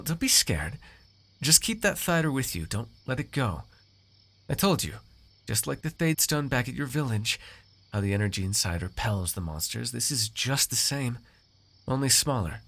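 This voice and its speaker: Guy doing a smug fantasy lord for asmr roleplay, raspy, really animated pitch, posh wording, teasing and possessive. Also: exaggerated voice. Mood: patronizing, reassuring. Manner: theatrical, drawling, formal diction, condescending. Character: haughty, teasing noble master, smooth-tongued and possessive.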